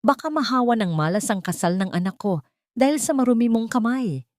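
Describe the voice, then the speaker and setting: Woman narrator as a domineering older mother, Filipino accent, scolding, scornful, raised pitch, audiobook drama. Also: expressive, a little fast, a little mellow, no echo, studio quality, natural voice. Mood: scolding, outraged.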